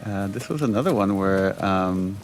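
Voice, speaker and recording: A man's voice: deep voice, moderate pace, faint music behind, poor audio. A man speaking as a game director, giving casual, enthusiastic commentary in a conversational way.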